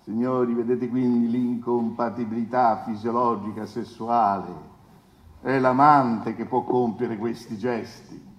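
An actor playing a pompous, insinuating defence lawyer who declaims to the court with rhetorical pauses and questions. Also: male voice, medium pitch, steady tone, natural voice. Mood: grandiose, emphatic. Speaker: stage actor. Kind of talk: theatrical courtroom oratory. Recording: echoey hall with long reverb.

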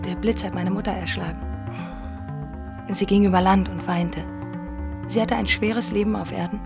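Actress as a creaky-voiced naive, dreamy peasant girl. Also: medium pitch, steady tone, moderate pace, natural voice. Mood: earnest, dreamy. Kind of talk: German radio drama acting. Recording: music behind the voice.

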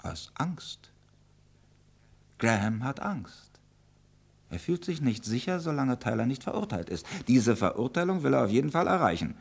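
An actor playing a shrewd, self-assured male police inspector in a vintage radio crime drama, speaking with deliberate, sardonic emphasis. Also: mid-range voice, big pitch swings, vocal fry, slightly rough, full character voice. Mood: confident, musing.

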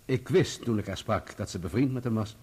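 A man acting in a radio play as a stubborn journalist under questioning, defensive, evasive and measured in his answers. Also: on the low side, a little mellow, slightly rough. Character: guarded male journalist on the witness stand, stubborn.

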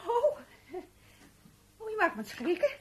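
A woman acting in a Dutch radio play as a flustered, excitable housewife who chatters, stammers and fusses. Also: very high voice, slow, a little hiss or hum, exaggerated voice. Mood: excited. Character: flustered, chattering, fussy housewife defending herself to husband.

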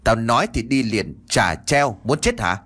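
A sharp-tongued young mistress snaps orders and whines, as a man plays her in a spoken horror tale. The husky voice leaps around in pitch. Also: animated, a little slow. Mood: cold, bossy. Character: sharp-tongued, cruel young daughter of the house.

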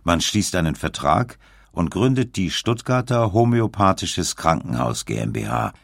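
A man with a very low, gravelly voice narrates a documentary from a script. His delivery is measured and factual, recorded dry and close, with faint music around it. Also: very animated, dry recording.

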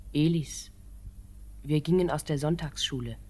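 Low-voiced, lovelorn young woman. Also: a little slow, mellow. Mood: tender, earnest. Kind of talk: German radio play acting in elevated poetic language.

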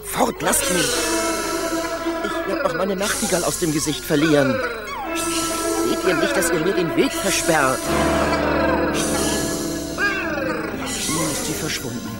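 A fiery wandering poet in a fantasy radio drama. His fairly high voice swings widely in a theatrical, exclamatory way. Loud music surrounds him. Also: wildly swooping pitch, takes it slowly, pauses often, exaggerated voice. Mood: bewildered, agitated. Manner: theatrical, exclamatory, shifting from hushed to shouting. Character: adventurous, hot-tempered male poet wandering among fantastic birds. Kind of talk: literary radio play monologue.

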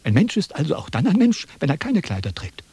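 A pompous old-world philosopher in a radio drama, high in pitch and swinging widely as he declaims. He is quarrelsome and loves to lecture, and the sound is dry and close. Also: huge pitch swings, fairly quick, dark tone, breathy edge, no echo, heavily acted. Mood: pompous lecturing. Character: pompous, quarrelsome male Chinese philosopher in a threadbare coat. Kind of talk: German radio play acting.

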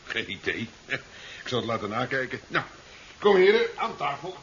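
An actor playing a genial, dismissive scientist in a 1970s radio drama, muttering hesitantly and fussily. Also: male voice, medium pitch, very animated, normal speed, lightly acted. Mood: genial, reassuring. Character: dithering, genial scientist host, dismissive of danger. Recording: dull, hissy 1970s radio recording.

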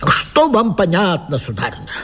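An actor in a radio vaudeville playing a flustered, jealous 19th-century gentleman, stammering and agitated in a theatrical way. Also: sits high, huge pitch swings, moderate pace, slightly rough, exaggerated voice. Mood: flustered, groaning.

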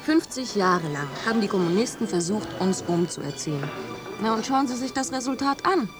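A woman's voice: mid-range voice, expressive, normal speed, natural voice. Cynical young student girl in a radio play, a woman doing it, dry and mocking, music behind. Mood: sardonic. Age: young adult. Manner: sardonic, mocking.

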